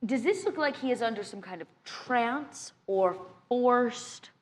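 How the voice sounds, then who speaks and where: A woman's voice: on the high side, animated, measured pace. A woman chatting casually as a player in a live tabletop game. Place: live convention stage show.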